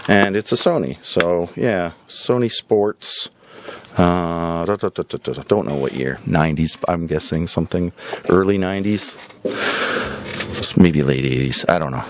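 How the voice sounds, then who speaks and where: A man hosting a podcast in a casual, rambling way, showing items for sale with drawn-out emphasis and frequent tag questions. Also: low-pitched, slight background noise. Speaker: podcast host and record shop seller. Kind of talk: casual rambling product showcase.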